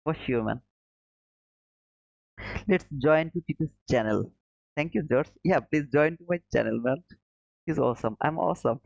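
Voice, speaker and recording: A man's voice: a little high, moderate pace, vocal fry, pauses often, darkish tone, no echo, highs cut off. A man from Bangladesh hosting a trading livestream, reading viewer comments aloud and answering in a friendly way, calling people brother and chuckling often. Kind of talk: casual live chat reading viewer comments.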